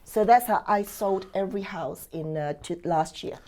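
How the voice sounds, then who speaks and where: A woman's voice: medium pitch, moderate pace, mellow. A woman from Singapore who later lived in Australia, talking as a real estate coach in an upbeat, emphatic, conversational testimonial, often checking in with rhetorical questions.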